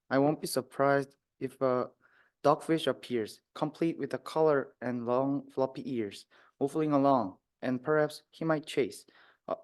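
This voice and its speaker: A man's voice: medium pitch, a little mellow. A young man, a student, reading a rhyming children's book aloud with occasional stumbles. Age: young adult. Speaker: student reader.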